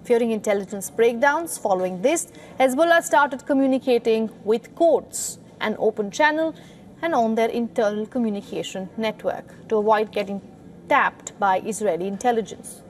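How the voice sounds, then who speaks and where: News reporter, female, higher register, scripted report read, slight background noise. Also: moderate pace.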